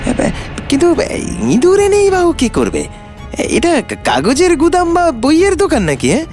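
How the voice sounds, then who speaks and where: An actor playing a flustered, anxious workshop owner in a cartoon, stammering and incredulous. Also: male voice, very high voice, wildly swooping pitch, normal speed, a little mellow, faint music behind, full character voice. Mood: shocked, incredulous. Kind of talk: animated detective story acting.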